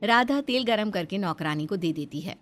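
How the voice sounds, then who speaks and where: A woman's voice: mid-range voice, lively intonation, moderate pace. A woman reading scripted narration for a story as its narrator.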